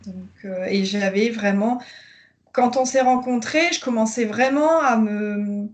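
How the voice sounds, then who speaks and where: A woman's voice: on the high side, unhurried. A woman in her thirties from northern France, hesitantly recounting her personal journey with many fillers, over a video call. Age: 30s. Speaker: coaching client giving a testimonial. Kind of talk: conversational interview.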